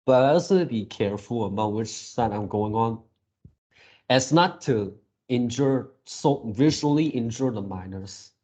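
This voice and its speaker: A man's voice: mid-range voice, expressive, unhurried, mellow. A man giving casual reaction commentary as a video creator, rambling like an enthusiastic fan.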